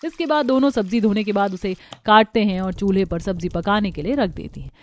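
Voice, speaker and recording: A woman's voice: mid-range voice, brisk, darkish tone. A woman reading scripted narration for a cartoon family story.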